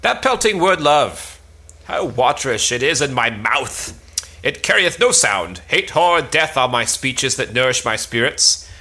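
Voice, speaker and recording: A man's voice: mid-range voice, sing-song, measured pace, bright, forceful tone, full character voice. Man playing a pompous, blustering, bloodthirsty knight. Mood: disdainful. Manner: pompous, bombastic, declamatory. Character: Sir Tophas, boastful bloodthirsty pompous would-be knight.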